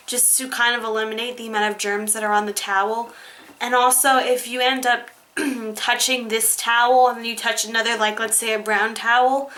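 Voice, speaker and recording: A young woman talks through a skincare routine in a casual, rambling way, full of ums and likes. Her voice sits a bit high and sounds crisp and bright, with a slight hoarseness left over from being sick. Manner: chatty, demonstrating while talking, many ums and likes. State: slightly hoarse, recovering from illness. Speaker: beauty vlogger.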